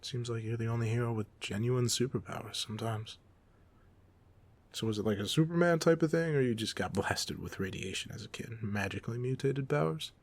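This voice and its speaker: A man playing a cocky, playful, secretly soft-hearted young supervillain in a casual, intimate roleplay audio drama, teasing the listener as he talks. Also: mid-range voice, brisk, lightly acted. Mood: curious, probing. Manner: teasing, casual, smug, conversational.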